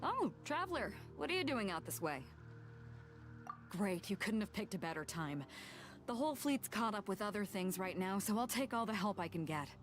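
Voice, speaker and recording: A woman's voice: medium pitch, big pitch swings, moderate pace, faint music behind, natural voice. A woman playing a bold, commanding sea captain in a video game, with a breezy, wry manner. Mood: relieved, friendly.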